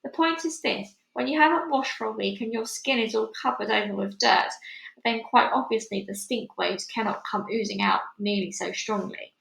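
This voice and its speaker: Woman putting on an emphatic, high-pitched old storybook grandmother. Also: normal speed, gravelly, a bit bright. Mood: instructive, relishing. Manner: emphatic, brusque, instructive. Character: stern, knowing old grandmother telling witch lore. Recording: roomy, echoey home recording.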